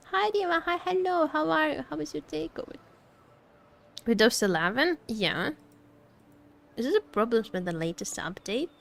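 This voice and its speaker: A woman's voice: high-pitched, lively intonation, moderate pace, creaky, long pauses, a bit bright. A woman streaming a game with casual, playful, rambling chat, teasing and often repeating her phrases. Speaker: VTuber streamer. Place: indoor home streaming setup.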